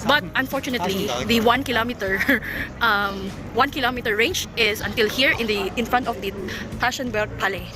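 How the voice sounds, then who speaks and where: A woman's voice: on the high side, a little fast, a crowd in the background. A woman with a Filipino accent, a native Tagalog speaker, giving casual vlog commentary. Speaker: vlogger reviewing a product.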